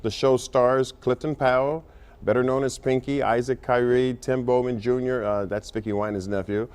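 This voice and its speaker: A man's voice: medium pitch, fairly even intonation, moderate pace, a little mellow, smooth. An American man from Detroit, a playwright and director, telling his story earnestly in a conversational TV interview, feeling his way into sentences. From Detroit, United States.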